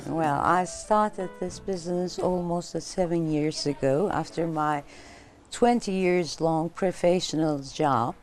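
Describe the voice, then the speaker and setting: A middle-aged woman with a Turkish accent talks casually in an interview about her work, in halting non-native English. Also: on the low side, music in the background. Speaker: business owner being interviewed.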